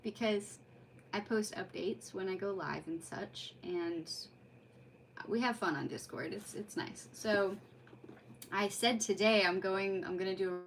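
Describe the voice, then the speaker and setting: A woman's voice: mid-range voice, measured pace, a little hiss or hum, poor audio. A woman chatting casually on a livestream in an effusive, rambling way, as host of a read-aloud. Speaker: streamer hosting a live read-aloud.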